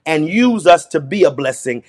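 A man's voice: fairly high pitch, lively intonation, moderate pace, darkish tone. A middle-aged man with a Southern American accent, a pastor leading closing prayer and announcements with fervent, exclamatory praise, recorded close on a webcam in a small home studio. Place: small indoor home studio before a green screen.

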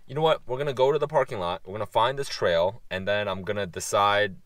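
A young man chatting casually to camera as a camping vlogger, joking in a deadpan, mock-grumbling way. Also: fairly low pitch, fast, dry recording. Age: young adult. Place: outdoors at campsite and trail, also inside parked van. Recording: outdoor and in-car ambient noise.

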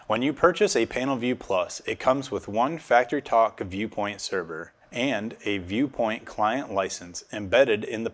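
A man in his thirties giving scripted instructional narration as a technical specialist, recorded on a lapel mic in a studio. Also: lowish voice. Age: 30s. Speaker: automation specialist presenting a tutorial.

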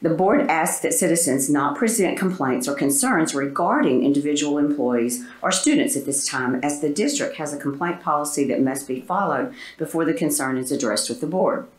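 A woman's voice: low-pitched, animated. Woman chairing a meeting in an echoey room. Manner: brisk, procedural, reads motions aloud. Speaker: school board president chairing the meeting. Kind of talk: formal meeting procedure. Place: school board meeting room, indoors.

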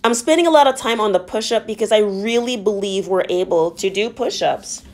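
A woman's voice: mid-range voice, fairly quick, darkish tone. Woman fitness instructor guiding a workout. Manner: encouraging, explanatory.

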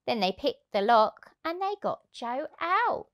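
A woman, around her thirties, reading a children's rhyming storybook aloud in an expressive, rhythmic way. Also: high voice, slow. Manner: animated, rhythmic, emphatic delivery. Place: indoors on a sofa. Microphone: clip-on lapel mic.

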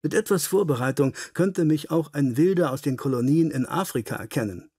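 Earnest, husky English nobleman read by a man. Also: on the high side, animated, brisk, darkish tone, no room sound, studio quality. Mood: sad, earnest. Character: earnest, melancholy English nobleman, gracious younger lord.

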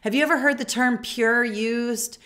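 A woman's voice: on the high side, fairly even intonation, normal speed, a bit bright. An American woman in her forties teaching in a conversational, emphatic way, often checking in with the listener as she demonstrates a classroom lesson. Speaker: teacher and teacher trainer. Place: small furnished room indoors.

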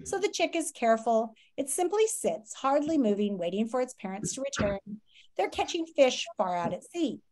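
A woman's voice: a little high, sing-song, moderate pace, a little mellow. A woman reads a children's nonfiction book aloud like a teacher, expressively and dramatically, with asides and questions to her listeners. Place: small room at home.

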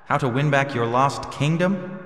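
A man's voice: medium pitch, normal speed, fairly bright tone, close to an everyday voice. A man playing a young heroic mercenary leader in a video game cutscene, blunt but encouraging, with an earnest, plainspoken delivery. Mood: challenging. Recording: voice over background music.

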